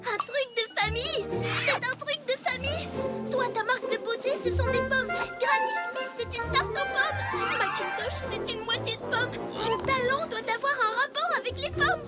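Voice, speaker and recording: A woman's voice: very high-pitched, moderate pace. A woman voicing an impatient, fretful little girl pony in a French cartoon dub, with a whiny, dramatic manner. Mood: whiny, frustrated. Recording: music behind the voice.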